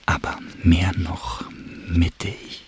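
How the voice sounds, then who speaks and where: An actor in a radio play voicing a brooding, sensual man, hushed and intimate, lingering over each phrase. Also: low-pitched, level tone, slow pace, husky, in a whisper, full character voice. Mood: sensual, absorbed. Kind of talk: literary radio drama monologue.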